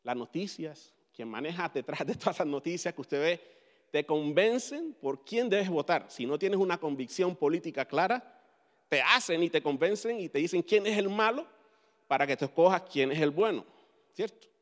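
A man whose first language is English, preaching a sermon in Spanish in an animated way and asking the audience questions. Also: fairly high pitch, normal speed, in a large hall. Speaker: pastor.

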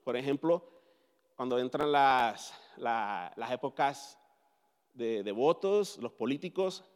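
Spanish-speaking pastor, native English speaker. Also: male voice, mid-range voice, slow, clean tone, long reverb tail. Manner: animated, asks the audience questions. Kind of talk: sermon.

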